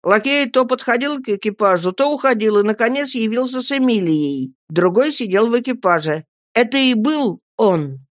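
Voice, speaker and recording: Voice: medium pitch, expressive, normal speed, no room sound, clean studio sound, voice pushed up, lightly acted. A narrator voicing a distraught young fisherman in an audiobook, pleading in a strained, broken voice. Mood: tense. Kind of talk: audiobook character acting.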